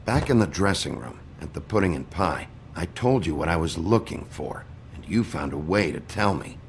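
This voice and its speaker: An actor playing a gruff, brooding sheriff in a video game, terse and halting, with a gravelly voice. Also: male voice, low-pitched, lively intonation, a little hiss or hum. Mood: probing. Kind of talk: video game dialogue acting.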